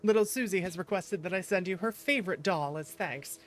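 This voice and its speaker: A woman's voice: on the low side, fairly quick, slight background noise, lightly acted. A woman reading out a thank-you letter as an earnest detective, in a lightly formal tone.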